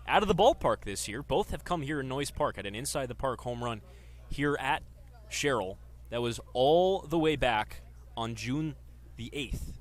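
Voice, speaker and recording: Young man, bright sports announcer voice. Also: a little high. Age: 20s. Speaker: sports reporter and baseball radio play-by-play announcer. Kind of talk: sports reporting and live baseball commentary.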